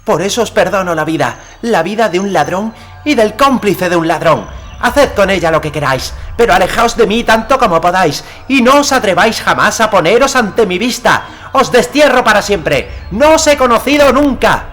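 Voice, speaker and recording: A man's voice: high-pitched, expressive, moderate pace, fairly bright tone, soft background music, voice pushed up. A man voicing a proud, wounded boy ruler who speaks in a grave, imperious, declamatory way in an audiobook. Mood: bitter, wounded. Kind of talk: audiobook character acting in Spanish.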